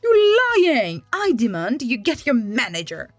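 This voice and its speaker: A woman's voice: a little high, huge pitch swings, unhurried, dry recording, soft background music, pitched above the performer's own voice, exaggerated voice. A woman voicing an entitled, demanding mother in a story reading, with a snarky, indignant manner. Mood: accusing. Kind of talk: dramatised online story reading.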